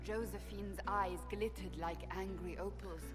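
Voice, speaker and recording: A woman's voice: medium pitch, steady tone, measured pace, lightly acted. An actress playing a proud woman warrior in a game, giving a theatrical, mock-noir reading of a pulp novel. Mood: grave, dramatic. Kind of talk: scripted game acting, hammy storybook narration. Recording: long hall reverb under loud music.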